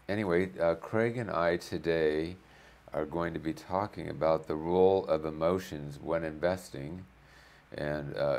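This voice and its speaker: A man hosting an interview show, slightly hoarse from a cold, chatty with frequent fillers. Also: extremely low pitch. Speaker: host.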